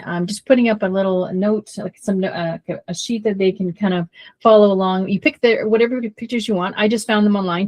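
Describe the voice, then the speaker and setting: A woman tutor on a podcast explaining a writing activity. She is losing her voice, nervous, and laughs often. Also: medium pitch.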